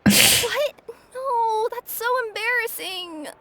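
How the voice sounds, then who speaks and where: An actress voicing an excitable, eager young girl in fantasy video game dialogue. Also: extremely high pitch, slow pace, background noise, exaggerated voice. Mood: embarrassed. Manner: bright, eager, expressive.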